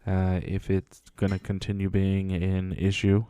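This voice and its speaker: A man's voice: low-pitched, monotone, measured pace, mellow, clean tone, dry recording. A man co-hosting a podcast in casual chat, joking about himself and then answering in a thoughtful way. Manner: self-deprecating, joking, thoughtful. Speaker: podcast co-host, Air Force veteran.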